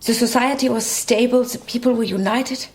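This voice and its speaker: A woman's voice: a little high, steady tone, normal speed, background noise, lightly acted. An actress playing a proud, bitter middle-aged East German woman with a curt, formal manner, in a radio drama.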